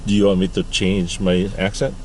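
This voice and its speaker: A man's voice: low voice, completely flat delivery, slight background noise. A Filipino man with a Filipino accent, telling jokes in casual chat from inside a car. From Philippines. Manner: joking, unhurried storytelling. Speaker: vlog host.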